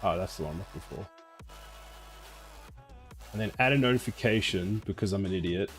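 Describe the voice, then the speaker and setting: Low-voiced South African man on a live stream. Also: fairly quick, soft background music. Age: 40s. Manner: reads chat aloud and answers, rambling and self-mocking. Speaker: game developer streamer. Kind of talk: casual live stream chat while coding.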